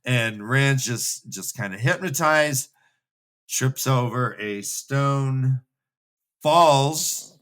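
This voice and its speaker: A man with a middle-pitched, bright and forceful voice retells a story as a show host. His delivery is casual and animated, full of slang and joking asides. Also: drawn-out words. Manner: animated and slangy, acting out dialogue in bits. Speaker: co-host of a fantasy book re-read show. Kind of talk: casual, jokey story recap.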